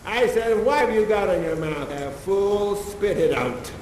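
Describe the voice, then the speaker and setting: A man plays a wrathful spirit of an enchanted isle who hurls accusations at sinful men. The delivery is slow and theatrical, with a voice that rises and falls widely. It rings out with a long, roomy echo over heavy rain. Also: high voice, lively intonation, darkish tone, a little hiss or hum. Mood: menacing. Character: vengeful spirit condemning sinful noblemen.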